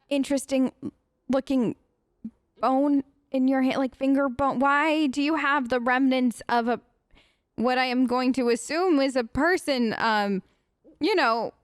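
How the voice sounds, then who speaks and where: High-voiced, playful woman gamer. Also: dry recording, clean studio sound. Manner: hesitant, playful, reactive. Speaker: gamer, let's play host. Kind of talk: role-play gameplay commentary, casual chat.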